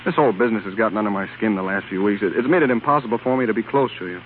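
An actor playing a frustrated, self-pitying young husband in a 1930s radio drama, petulant and excitable. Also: male voice, on the low side, rapid-fire, lightly acted. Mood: contrite, coaxing. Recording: noisy 1930s radio broadcast, tinny and narrow.

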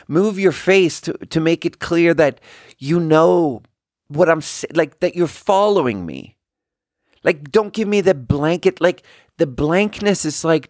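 A man from Sweden, a native Swedish speaker, telling rambling personal stories in a casual, self-deprecating, halting and wry way. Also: on the high side, no room sound, studio quality. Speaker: podcast host.

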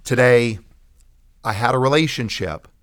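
An American man, a pastor, shares his testimony in conversation with an earnest, preacherly delivery and emphatic pauses. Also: medium pitch. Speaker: pastor and guest.

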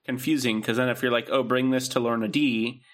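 A man's voice: medium pitch, fairly even intonation, brisk. A man co-hosting a podcast in casual banter, with a laid-back, loose delivery that often trails off. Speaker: podcast co-host.

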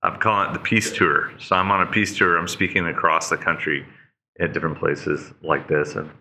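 A man in his fifties from Oklahoma, a guest on a podcast, telling his story in animated, casual chat. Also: fairly quick, a little hiss or hum. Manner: animated storyteller, jumps to high-pitched mock voices. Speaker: podcast guest, leadership speaker.

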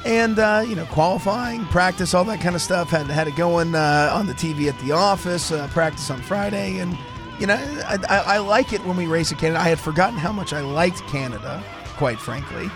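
A hoarse man with a slightly higher voice hosts a relaxed motorsport radio hour from a studio mic. He rambles and restarts his sentences, and music plays clearly under his talk. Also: brisk. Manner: rambling, repeats words, restarts often. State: hoarse from laryngitis. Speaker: radio show host. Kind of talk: casual motorsport radio chat. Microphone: studio microphone.

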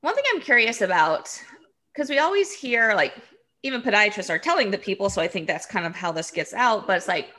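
A woman hosting a podcast with a bright, crisp edge to her voice. She asks questions in a chatty, casual way, filling her sentences with "like.". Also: mid-range voice, sing-song, fairly quick. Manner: chatty, heavy use of "like". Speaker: podcast host. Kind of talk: casual interview chat.

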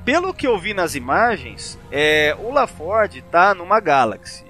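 A man's voice: high-pitched, big pitch swings, unhurried, bright, forceful tone, soft background music. A Brazilian man hosting a casual podcast, chatting enthusiastically and swearing freely. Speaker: podcast host.

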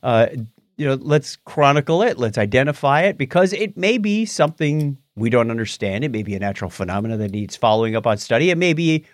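A man's voice: mid-range voice, very animated, brisk, no room sound, clean studio sound. A man hosting a podcast, chatting casually through news items and explaining them in a wry, jokey way. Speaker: podcast host. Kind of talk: casual news chat with banter.

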